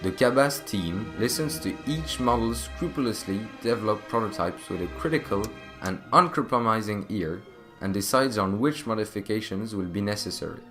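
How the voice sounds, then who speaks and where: A man's voice: fairly low pitch, a bit bright. A man reading scripted narration as the narrator of a corporate video.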